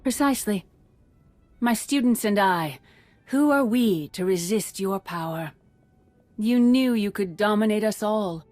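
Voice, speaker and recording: An actress playing a wise, defiant Jedi master in a video game, composed and measured. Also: female voice, medium pitch, expressive, a little mellow, no room sound, soft background music, lightly acted. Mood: calmly defiant. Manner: measured, assured. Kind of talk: dramatic video game acting.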